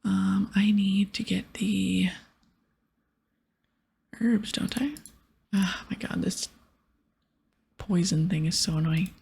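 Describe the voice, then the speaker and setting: A woman narrates gameplay in a hushed ASMR whisper. Her voice is extremely airy, with a crackly fry at the edges, and she murmurs little asides to herself. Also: mid-range voice, steady tone, takes it slowly, pauses often. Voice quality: whispery, breathy. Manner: soft, murmured asides to self. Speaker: game streamer reading quest dialogue.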